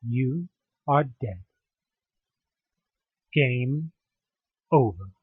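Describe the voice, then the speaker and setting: A man giving a theatrical, expressive second-person narration of a horror story. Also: mid-range voice, very slow, slightly rough, no room sound, narrow, tinny audio. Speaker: narrator of an audio drama.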